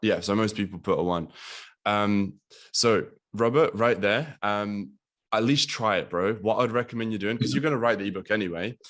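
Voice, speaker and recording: A young man from Latvia talks loosely over a video call, his voice low. He fills his speech with ums and likes and swears casually. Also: normal speed, fairly bright tone. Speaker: guest business coach.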